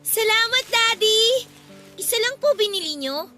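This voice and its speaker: A woman's voice: extremely high pitch, lively intonation, unhurried, bright, forceful tone, full character voice. A woman playing a sweet, eager nine-year-old Filipino daughter in a radio drama. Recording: music bed under the voice.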